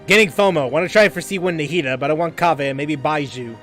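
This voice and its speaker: A man chatting casually as a game streamer, using gamer slang and repeating himself haltingly as he reacts. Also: a little high, fairly bright tone. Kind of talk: casual livestream chat and reactions. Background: game music.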